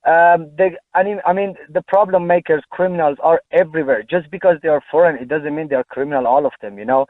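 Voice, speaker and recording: A man from Afghanistan calling in to a radio show, speaking haltingly with many restarts over a phone line. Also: fairly high pitch, no room sound. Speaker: caller. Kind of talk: phone-in call.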